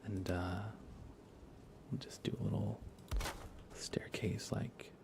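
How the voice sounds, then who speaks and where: A man speaking softly and unhurriedly, often half-whispering, as he rambles through a live ASMR gaming stream. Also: on the low side, level tone, slow pace, creaky. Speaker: livestream host. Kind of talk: soft-spoken ASMR game livestream chat.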